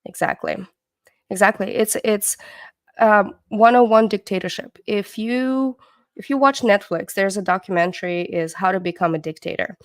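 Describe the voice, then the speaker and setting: A woman's voice: mid-range voice, normal speed, dry recording, clean studio sound. A middle-aged woman whose first language is Russian, born in the Soviet Union, hosting a livestream and talking in a loose, rambling way with many hesitations and restarts. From born in the USSR, Ukrainian background. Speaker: livestream host. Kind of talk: casual livestream commentary.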